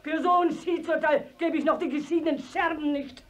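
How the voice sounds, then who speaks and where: Schauspielerin als zänkische Dorfmutter mit hoher Stimme. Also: female voice, high voice, a little fast, lightly acted. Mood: furious, mocking. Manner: scolding, declamatory, mocking. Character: quarrelsome, indignant village mother, scornful scold. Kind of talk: classic stage comedy in 1950s German radio acting.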